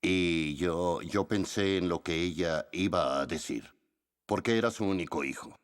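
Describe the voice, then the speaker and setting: A man giving a halting, hesitant account as a war veteran in a dubbed documentary interview. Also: low voice, smooth.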